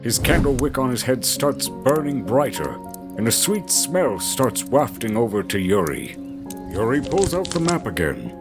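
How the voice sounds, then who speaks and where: Animated male story narrator over music. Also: mid-range voice, measured pace. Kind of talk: dramatic scripted story narration.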